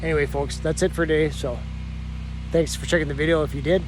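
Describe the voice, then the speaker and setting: A man's voice: a little high, steady tone, fast talker, over music, rough recording. A man vlogging casually outdoors at his homestead sawmill, rambling in a folksy way with lots of fillers and "right?" tags. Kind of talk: casual vlog talk to camera. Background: generator running.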